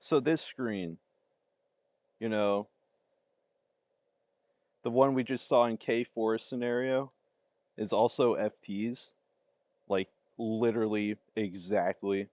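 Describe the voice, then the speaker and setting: Low-pitched man reacting on a livestream. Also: steady tone, slow, long pauses, a little mellow, no echo. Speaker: livestreamer reacting to fan-made videos. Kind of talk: casual livestream reaction commentary.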